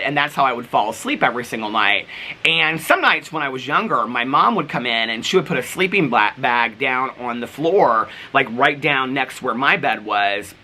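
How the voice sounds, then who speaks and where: A man talking casually to viewers as a vlogger, in an animated, rambling, gushing way. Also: medium pitch. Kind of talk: casual, chatty vlog talk to viewers.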